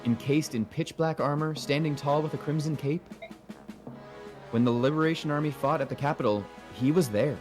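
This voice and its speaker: A man voicing a young queen in game dialogue, gentle yet resolute, speaking formally and earnestly. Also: fairly high pitch, moderate pace, music in the background, close to an everyday voice. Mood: grave. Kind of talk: game dialogue read aloud in a fan dub.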